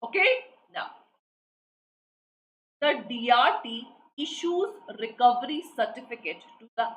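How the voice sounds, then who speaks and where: A woman's voice: on the high side, a bit bright. A woman with an Indian English accent teaching a live online exam class, repeating questions and prompting students for answers. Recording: echoey room with faint noise.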